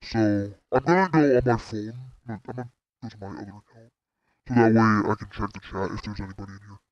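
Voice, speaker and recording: A teenage boy with a raspy voice giving excitable, rambling live commentary on storm radar as a streamer, full of restarts. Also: on the low side, brisk, no echo, highs cut off. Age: teen.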